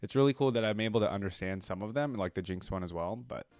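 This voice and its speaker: Low-voiced man giving casual reaction commentary. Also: fast talker, darkish tone, faint music behind. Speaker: reaction video host.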